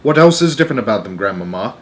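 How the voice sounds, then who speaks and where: A man voicing a curious, excitable young grandchild in a storybook, full of exclamations and incredulous questions. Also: lowish voice, very fast. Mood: eager, curious. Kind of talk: storybook read-aloud character.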